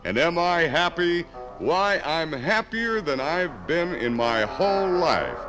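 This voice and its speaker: An exuberant, folksy bit of talking iron in a 1950s radio drama, with an American accent and a widely swooping voice. Music plays clearly behind the proud, theatrical chatter. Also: male voice, a little high, sing-song, takes it slowly. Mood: gleeful, chatty. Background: background music.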